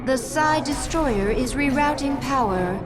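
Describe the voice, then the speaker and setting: Brief: formal female hive adviser, high clear-cut reporting, game dialogue over music. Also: animated, a little slow. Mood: alert. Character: dutiful female alien aide giving alerts. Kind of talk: video game character acting. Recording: music behind the voice.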